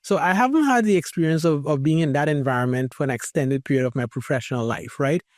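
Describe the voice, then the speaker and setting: Male host from Trinidad, a bit high-voiced, thoughtful and searching. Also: fast, no room sound, studio quality. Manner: thoughtful, hesitant restarts. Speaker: podcast host. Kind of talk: conversational interview.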